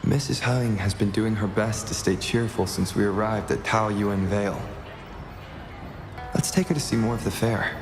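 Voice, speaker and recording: A man's voice: lowish voice, fairly even intonation, normal speed, reverberant, big-room sound, lightly acted. An actor voicing an attentive male companion in a video game, delivering scripted dialogue. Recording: music playing behind the voice. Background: game music.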